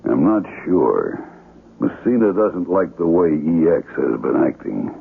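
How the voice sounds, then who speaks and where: An actor playing a cold, calculating male spymaster in a 1970s radio drama, deliberate and authoritative. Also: extremely low pitch, big pitch swings, creaky, slightly rough, phone-quality sound, heavily acted. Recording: thin, band-limited vintage radio recording.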